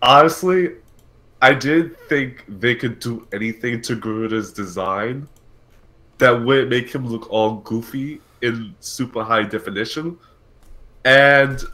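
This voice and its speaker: A man's voice: mid-range voice, sharp tone. A man hosting a casual gaming talk show, thinking aloud and correcting himself in a conversational way. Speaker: podcast host.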